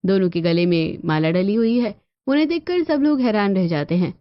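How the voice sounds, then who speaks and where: A woman's voice: mid-range voice, expressive, moderate pace, no room sound. A woman reading scripted narration for a cartoon family story. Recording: dull lo-fi audio with music behind.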